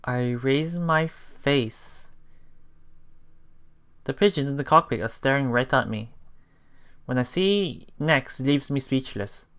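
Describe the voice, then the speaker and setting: Slow-paced man with a bright voice. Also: mid-range voice, animated, smooth. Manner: halting delivery with self-corrections and muttered asides. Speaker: let's play host. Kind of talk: reading a visual novel aloud with casual commentary.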